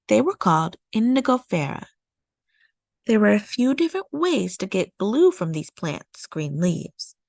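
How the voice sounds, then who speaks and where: A woman narrating a children's nonfiction picture book as a storytime reader, with measured, deliberate phrasing. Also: medium pitch, sing-song, vocal fry, a little mellow, no room sound, clean studio sound. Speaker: storytime read-aloud host.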